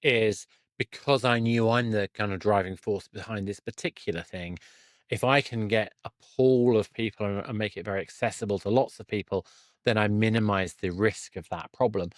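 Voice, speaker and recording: A man hosting a casual podcast interview, speaking in a halting way with broken-off starts. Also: on the low side, no echo.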